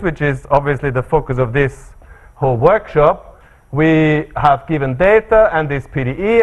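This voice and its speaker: Austrian man giving a seminar talk. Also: on the high side. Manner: measured, frequent fillers. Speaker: lecturer. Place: seminar room.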